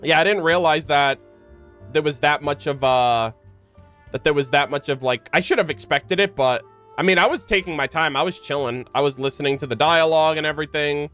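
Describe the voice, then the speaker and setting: A man's voice: a little high, fairly quick, fairly bright tone. Male game streamer, informal and slangy, talking to chat over game music. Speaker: live streamer, gamer. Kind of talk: casual live game-stream commentary to chat.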